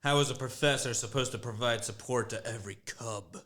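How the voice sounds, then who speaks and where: A man's voice: mid-range voice, a little fast, sharp tone, lightly acted. A man playing an earnest young student who raises questions.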